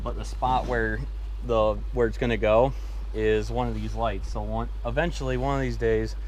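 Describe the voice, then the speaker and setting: A man's voice: medium pitch, flat delivery, moderate pace, a little mellow, a little hiss or hum, low-quality recording. A man casually narrating a hands-on vehicle project, thinking aloud as he works in an informal way. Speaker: DIY vehicle restoration vlogger.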